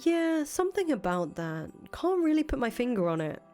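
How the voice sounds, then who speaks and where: A woman's voice: sits high, sing-song, moderate pace, a little mellow. A woman playing a cynical, foul-mouthed ousted rebel leader in a visual novel, thinking aloud in casual, profane speech. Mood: suspicious, agitated. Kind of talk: acted reading of visual novel dialogue. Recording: music under the voice.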